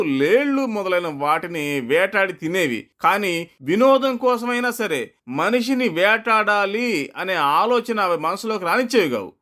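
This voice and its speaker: High-voiced man narrating a folktale. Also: fairly bright tone. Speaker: storyteller.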